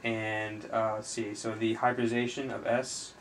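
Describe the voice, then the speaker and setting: A man giving an informal chemistry tutorial, explaining step by step in a halting way as he thinks aloud while writing. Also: fairly low pitch, flat delivery. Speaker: chemistry tutor, video host. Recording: noisy amateur recording with room ambience.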